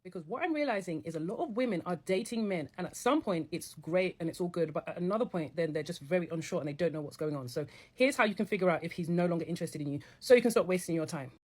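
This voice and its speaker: Low-voiced, quick woman explaining. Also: animated. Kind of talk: casual advice talk to camera.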